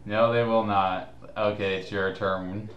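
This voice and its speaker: A man giving casual, mocking commentary in a halting way. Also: fairly low pitch, level tone, highs cut off, slight background noise. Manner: halting, chuckles often. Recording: echoey room.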